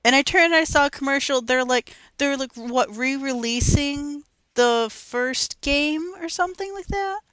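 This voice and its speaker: A young woman co-hosting a casual gaming podcast, telling stories in a giggly, animated way. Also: sits high, measured pace, few pauses, no echo. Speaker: podcast co-host. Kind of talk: casual chat about video games.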